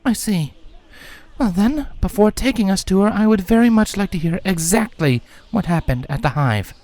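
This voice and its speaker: Man putting on a high, regal pony queen. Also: lively intonation, a little mellow. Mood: composed, inquiring. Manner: measured, formal. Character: regal female pony ruler, composed and formal.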